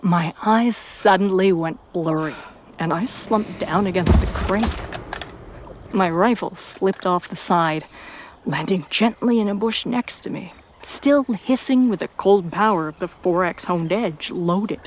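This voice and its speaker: A woman reading a first-person story as a dramatic narrator, with intense pauses and hushed, breathy phrases. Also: mid-range voice, a little hiss or hum. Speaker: narrator of a fan fiction audio story.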